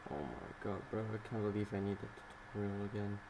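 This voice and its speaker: A man's voice: on the low side, fast. A man muttering casual, unenthusiastic commentary to himself while he works as a solo game developer. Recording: clearly noisy recording.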